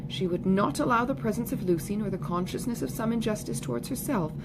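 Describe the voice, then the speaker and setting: A woman's voice: on the high side, quick pace, very noisy. A woman giving a scripted literary narration as an audiobook reader.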